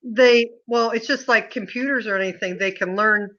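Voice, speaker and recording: Older woman from the US, English tutor, chatty, bright tone, dull video-call sound. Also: fairly high pitch, normal speed. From United States. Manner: chatty, friendly, asks questions. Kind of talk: casual conversation lesson.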